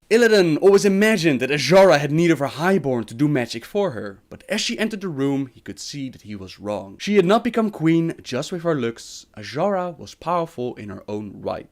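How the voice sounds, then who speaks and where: Guy telling fantasy lore, kinda high voice, very up and down, dramatic delivery. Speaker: narrator of game lore videos. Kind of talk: scripted storytelling narration.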